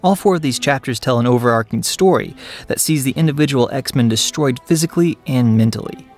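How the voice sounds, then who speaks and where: A man's voice: medium pitch, fairly quick. A man presents an entertainment review in a mid-range voice. He speaks as the host, moving between address to viewers and scripted narration. Light music plays underneath him. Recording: music behind the voice. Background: background music.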